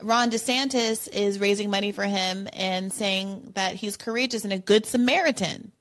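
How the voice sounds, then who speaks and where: A woman's voice: medium pitch, fairly even intonation, moderate pace. A woman hosting a call-in show, speaking in conversational political commentary. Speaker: talk show host.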